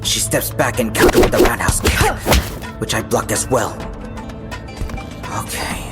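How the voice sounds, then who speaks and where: A man's voice: mid-range voice, sing-song, a little slow. A man acting in an audio drama as a young, casual and cocky fighter who narrates his own story in a wry way. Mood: focused. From Philippines. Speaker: voice actor narrating in character. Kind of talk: audio drama dialogue and first-person narration. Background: background music.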